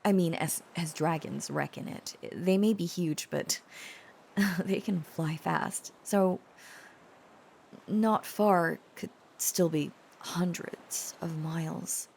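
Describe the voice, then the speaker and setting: A woman playing a shy, tender young dragon-blooded girlfriend in an intimate audio roleplay, speaking hesitantly with stammers and soft pauses. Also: on the low side, gravelly, a little hiss or hum. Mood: hesitant, confiding.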